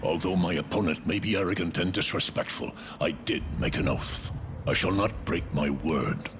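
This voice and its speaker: Stern older king, fantasy game, male actor: low-pitched, haughty, formal command, clear background noise. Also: normal speed, close to an everyday voice. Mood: grudging resolve. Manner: imperious, formal. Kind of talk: dramatic fantasy game acting.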